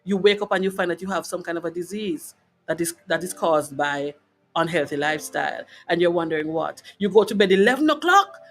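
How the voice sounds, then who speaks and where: A woman's voice: fairly high pitch, a bit bright. A woman with a Jamaican accent hosting a wellness broadcast, talking informally and emphatically to her online audience and checking in with short tag questions. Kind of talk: informal motivational health talk to a live online audience.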